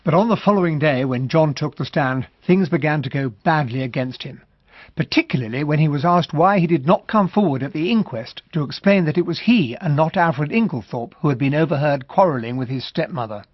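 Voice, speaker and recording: Husky British gentleman narrator played by a man. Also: on the high side, no echo, lightly acted. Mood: measured, grave. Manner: measured, literate, formal. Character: gentlemanly male narrator recounting a murder trial. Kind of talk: scripted narration in radio mystery drama. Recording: dull, dry radio recording.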